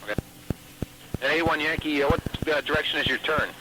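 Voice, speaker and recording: An American man working as an air traffic controller, giving brisk instructions to pilots over aircraft radio. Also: a little high. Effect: aircraft radio transmission.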